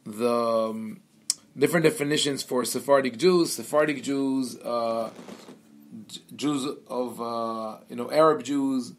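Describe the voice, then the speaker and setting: Brief: man from Israel, rabbi teaching a seminar, mid-pitched, stop-start delivery full of fillers. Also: fairly even intonation, a little slow. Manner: hesitant, many fillers and restarts. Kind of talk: lecture.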